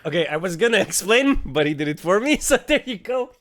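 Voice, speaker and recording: A man's voice: sits high, very animated, a little fast, dry recording, clean studio sound. A man from Belgium with a Belgian Dutch accent, a native Dutch speaker, ranting and swearing as he reacts to a clip on his stream. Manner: heated ranting, swears often. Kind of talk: reaction commentary.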